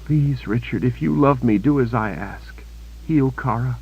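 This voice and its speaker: A man's voice: mid-range voice, a little slow, husky, highs cut off, voice pushed up. A male narrator voicing a wounded, desperate young woman who pleads with breathless panic. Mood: tearful.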